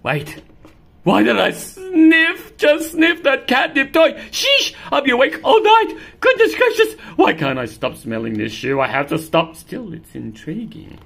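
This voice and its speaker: A grumpy, bored storybook house cat, played by a woman reader. It whines melodramatically, with huge swoops of pitch and a creaky edge. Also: wildly swooping pitch, a bit bright, full character voice. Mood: baffled, indignant. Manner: whiny, melodramatic, drawn-out delivery. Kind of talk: picture-book read-aloud.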